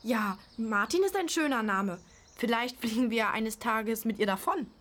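A female amateur acting as an earnest girl in a radio play. She has a rather high voice and likes to argue her point. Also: moderate pace, close to an everyday voice. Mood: eager. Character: earnest, argumentative grandchild, a girl. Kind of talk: amateur audio drama acting.

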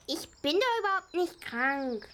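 A woman's voice: extremely high pitch, sing-song, unhurried, sharp tone, exaggerated voice. A female performer playing a naive little kindergarten girl, innocent and matter-of-fact, in a children's radio play.

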